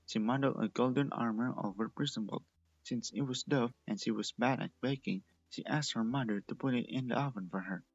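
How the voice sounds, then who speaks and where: A man's voice: mid-range voice, brisk, slightly rough, dull audio. A man reading a story aloud as the narrator. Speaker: fan fiction reader and narrator.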